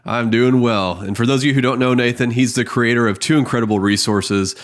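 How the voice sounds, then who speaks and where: A man's voice: medium pitch, a little fast. A man hosting an interview about church sound with a friendly, scripted-sounding delivery. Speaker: host.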